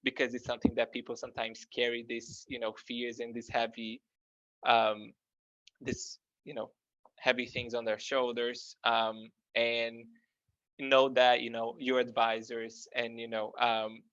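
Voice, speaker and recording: A man with a Brazilian accent talks through financial topics with a bright, forward tone, pausing constantly on small fillers as he searches for words. The recording is dry and close, with a dull, lo-fi sound. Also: mid-range voice, little pitch movement, no room sound. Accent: Brazilian Portuguese. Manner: hesitant, heavy with "um" and "you know" fillers. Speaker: financial research guest. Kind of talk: explanatory conversational talk.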